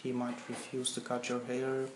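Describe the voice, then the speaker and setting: A young man from Turkey with a Turkish accent, answering interview questions in halting English as a non-native speaker. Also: medium pitch, monotone. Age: young adult. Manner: halting, searching for words in English. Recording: echoey room with faint ambient noise.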